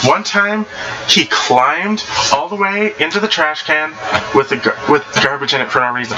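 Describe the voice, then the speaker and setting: A man casually reading anecdotes aloud in an animated way, stumbling and rereading phrases as he goes. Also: a little high, background noise. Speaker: online story reader.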